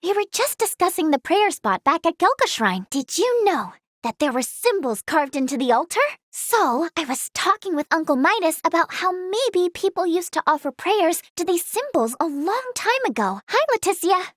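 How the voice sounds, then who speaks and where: A woman playing an eager, kind-hearted young female healer in a fantasy video game, earnest, expressive and girlish in manner. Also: very high voice, normal speed, few pauses, dry recording, studio quality, heavily acted. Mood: bright, grateful. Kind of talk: video game character acting.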